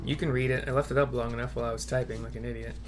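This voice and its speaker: A man giving casual gameplay commentary in a rambling, halting, offhand way. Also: mid-range voice, flat delivery. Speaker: video game streamer. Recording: clear background noise, keyboard typing.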